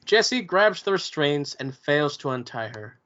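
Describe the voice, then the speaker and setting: A man's voice: on the high side, sing-song, unhurried, a bit bright. A man reading stage directions as scripted narration at a table read. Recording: dull lo-fi sound.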